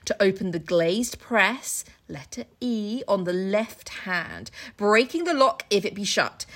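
A woman's voice: mid-range voice, a bit bright. A young woman co-hosting a podcast, chatting casually and reading aloud in an irreverent, giggly, sweary way. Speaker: co-host of a book-retelling podcast. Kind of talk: casual chat with comic read-alouds.

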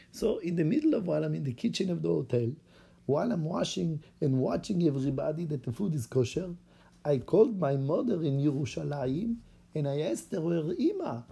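A man's voice: a little high, vocal fry, mellow, slightly rough, heavily acted. A man putting on an eager Israeli rabbi. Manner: eager, chatty storytelling in broken English. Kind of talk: acted-out story for children.

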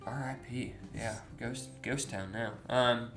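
A man's voice: mid-range voice, steady tone, slow, a bit bright, faint music behind. A man in his twenties co-hosting a casual podcast at home, rambling with lots of ums and self-corrections. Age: 20s. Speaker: podcast co-host. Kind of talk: casual chat. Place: house, indoors.

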